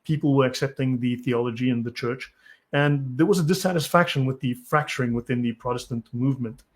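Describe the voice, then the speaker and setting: A man's voice: medium pitch, brisk. A South African man hosting a live stream, who reads from articles and answers chat comments bluntly and impatiently. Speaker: channel host.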